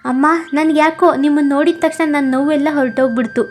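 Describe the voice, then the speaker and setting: A woman voicing a gentle, affectionate young married man in an animated storybook, speaking earnestly. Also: high voice, quick pace, a little hiss or hum, close to an everyday voice.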